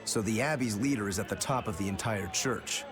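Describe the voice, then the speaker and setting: A man's voice: on the low side, brisk, close to an everyday voice. A man voicing a laid-back, wry rogue in a video game, casual and curious. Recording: music behind the voice.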